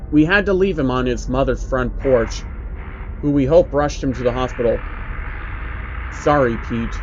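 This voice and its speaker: A man narrating a scripted horror story in a flat, measured reading voice. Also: medium pitch, highs cut off, slight background noise. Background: faint uneasy piano music.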